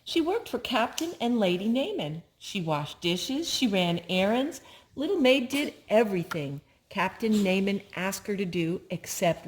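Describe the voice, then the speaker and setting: An older woman reading a children's story aloud as a storyteller, with simple, deliberate phrasing for young listeners. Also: mid-range voice, a little mellow.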